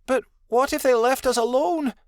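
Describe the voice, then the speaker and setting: A man voicing an anxious, whining young female animal in a storybook, soft and questioning. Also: very high voice, normal speed, dry recording, clean studio sound, pitched above the performer's own voice. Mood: hurt, pleading. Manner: whimpering, questioning.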